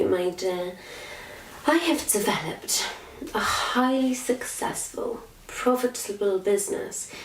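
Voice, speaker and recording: A woman's voice: medium pitch, lightly acted. A woman playing a sly, self-satisfied witch posing as a missionary, in a theatrical, condescending monologue that savors each word to a captive listener. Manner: theatrical, condescending, savoring words with long pauses. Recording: roomy echo, faint background noise.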